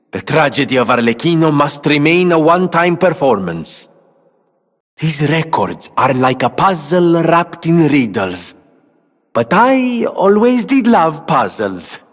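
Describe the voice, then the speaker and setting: A male actor playing an eccentric, haunted gentleman inventor in a video game, speaking in a theatrical, eloquent and earnest way. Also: on the high side, long reverb tail. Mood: grave resolve, then bright, admiring. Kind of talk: dramatic video game character dialogue.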